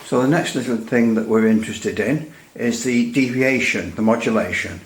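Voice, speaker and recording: An echoey indoor recording of a man with a mid-pitched voice. He talks through a technical demonstration casually, rambling and hesitating as he goes, with a dry sense of humour. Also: normal speed. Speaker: radio hobbyist presenting a repair demonstration. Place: indoors at a workbench. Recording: echoey room.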